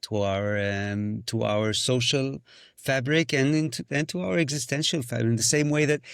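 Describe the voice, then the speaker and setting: Man, hebrew native speaker, musician guest, reflective and searching, mid-pitched, dry sound. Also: normal speed, creaky, fairly bright tone, clear, resonant tone, no room sound. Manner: searching, thoughtful, restarts phrases, breaks into vocal sounds. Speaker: musician and singing-circle facilitator. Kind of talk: reflective podcast conversation.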